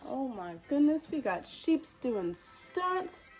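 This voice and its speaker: Storytime host, female: high, singsong range, unhurried pace, playful picture-book reading. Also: very animated, a little mellow, soft background music. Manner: playful, exclamatory. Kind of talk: expressive children's picture-book reading with chatty asides.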